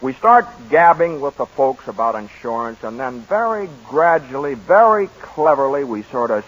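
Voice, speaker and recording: A coaxing salesman-type schemer, husky voice, dull old radio sound. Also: mid-range voice, big pitch swings, moderate pace, a bit bright. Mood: bossy. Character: fast-talking scheming man, eager and persuasive. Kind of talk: 1940s radio acting. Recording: lo-fi 1940s radio broadcast.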